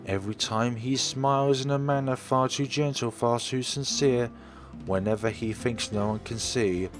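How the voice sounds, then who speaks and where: A man's voice: mid-range voice, steady tone, normal speed. A man reading a visual novel aloud in a dramatic storytelling manner. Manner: dramatic read-aloud narration. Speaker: let's player. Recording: music playing behind the voice. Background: game music.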